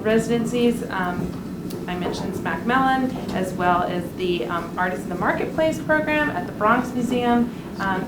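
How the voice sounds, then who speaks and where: Woman doing the speaker intro, reading off notes with lots of ums, echoey room and loud background noise. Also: medium pitch. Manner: reads stiffly with frequent "um"s. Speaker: event introducer. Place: university art gallery.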